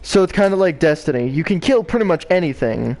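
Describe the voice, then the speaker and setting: A man's voice: fairly high pitch, expressive, quick pace, crowd noise, rough recording. A man hosting a stream in casual chat, easygoing and summing up what his guest says. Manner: easygoing, sums up what he hears. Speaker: streamer host.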